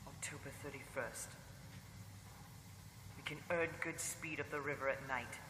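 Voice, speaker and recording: A man playing a grave vampire hunter reading his journal in a measured, tense, dramatic way for a gothic radio drama. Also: mid-range voice, fairly even intonation, lightly acted. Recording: echoey with noisy ambience.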